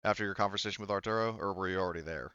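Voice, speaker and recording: A man's voice: deep voice, very fast, sharp tone, clean tone, dry recording, dull audio. A man acting as game master in a tabletop roleplaying game, improvising descriptions and casual questions in a hesitant, conversational way.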